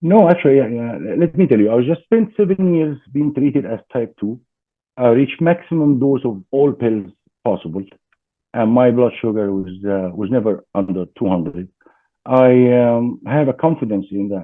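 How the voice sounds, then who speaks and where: A man from Egypt with an Egyptian accent, a guest in a casual interview, talking haltingly with many fillers over a video call. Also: mid-range voice, expressive, dry recording. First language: Arabic. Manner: hesitant, many fillers and restarts. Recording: dry tinny phone-like call audio.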